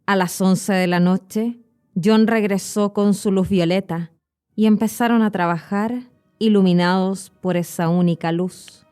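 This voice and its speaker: A woman with a Chilean Spanish accent, a podcast host and Spanish teacher, narrating a horror story in a clear, measured way. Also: mid-range voice, fairly even intonation, a little mellow, faint music behind.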